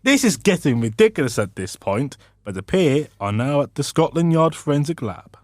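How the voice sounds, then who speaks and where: A man's voice: a little high, very animated, moderate pace, a little mellow, no room sound, clean studio sound. A man narrating a comedic scripted radio drama.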